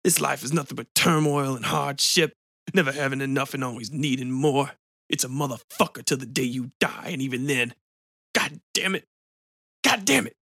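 A man doing a raspy, weepy smoker. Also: mid-range voice, steady tone, moderate pace, pushed and bright, dry recording, clean studio sound. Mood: venomous. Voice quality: smoker's rasp. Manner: pleading, breaking into tears.

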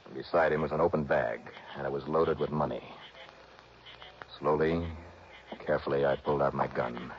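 A man's voice: rumbling low, steady tone, moderate pace, a little hiss or hum. An actor playing a cool, terse investigator who narrates his own case in a radio drama. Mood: tense, measured. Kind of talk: scripted first-person radio drama narration. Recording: dull old radio recording, music behind.

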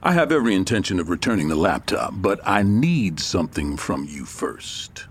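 An actor playing a cocky, menacingly playful detective from a story come to life, deliberate and theatrical in an audio drama. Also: male voice, deep voice, big pitch swings, slight background noise. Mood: smooth, assured. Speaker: actor in a fiction podcast.

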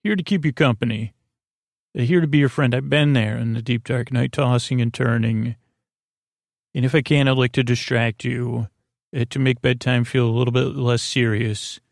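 A man hosting a sleep podcast, rambling in a whimsical, hesitant bedtime monologue with stammered false starts and a creaky, gravelly voice. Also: medium pitch, fairly even intonation, moderate pace, no echo, studio quality.

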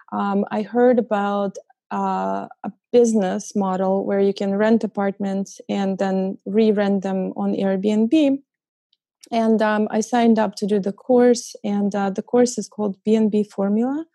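Low-key, gravelly young woman. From Ukraine. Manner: hesitant, many ums and uhs, laughs softly. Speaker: guest, short-term rental host. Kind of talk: casual interview chat.

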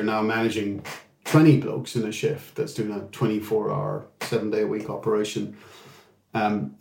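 A man's voice: on the low side, a little mellow, roomy sound. A middle-aged man with an Irish accent, talking through his career as an interview guest, with frequent restarts and fillers. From England. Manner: halting, with many restarts and fillers. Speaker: guest executive.

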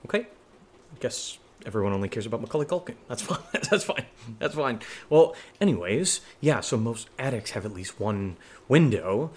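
A man playing a nervous, sarcastic young man in a horror audio drama, speaking in halting, rambling bursts with sardonic asides. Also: mid-range voice, big pitch swings, a little mellow, slight background noise, close to an everyday voice. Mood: flustered, sarcastic.

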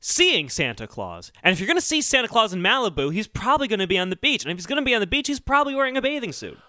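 Male co-host: high, animated voice, dry close sound, reasoning and questioning in casual comic chat. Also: rapid-fire, no room sound. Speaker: podcast co-host. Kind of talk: casual comedic discussion.

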